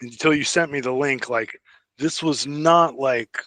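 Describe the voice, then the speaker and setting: A man's voice: medium pitch, a little slow, fairly bright tone, no echo. A man co-hosting a podcast, explaining details in a casual but slightly pedantic fan discussion. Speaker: podcast co-host.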